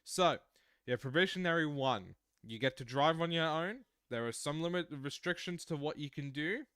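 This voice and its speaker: A man's voice: a little high, expressive, normal speed, sharp tone, clean tone, no echo. An Australian man in his mid-twenties, chatting casually and rambling through explanations while streaming a game. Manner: rambling, explains at length with restarts. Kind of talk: casual livestream chat while gaming.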